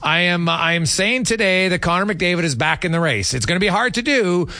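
A man hosting a sports talk show, giving unscripted analysis and statistics in a somewhat halting way. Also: high voice, animated, bright, forceful tone, dry recording. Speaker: sports radio host.

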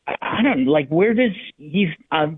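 A male hockey analyst talking on sports radio in a halting, conversational way, restarting phrases as he thinks aloud. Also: a little high, expressive, a little hiss or hum. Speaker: sports writer, guest analyst. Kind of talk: hockey talk radio discussion. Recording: thin, phone-like line.

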